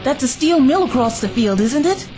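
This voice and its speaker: A woman's voice: high voice, animated, normal speed. Resolute young adventuress played by a woman, music underneath. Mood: urgent, reassuring. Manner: urgent, reassuring. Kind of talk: audio drama acting.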